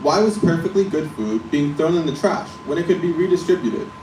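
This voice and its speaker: Young man from the Netherlands pitching. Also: lively intonation, a little fast, background noise. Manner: poses questions and then answers them. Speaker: student presenter. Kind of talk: rehearsed business pitch. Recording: echoey room from a distance.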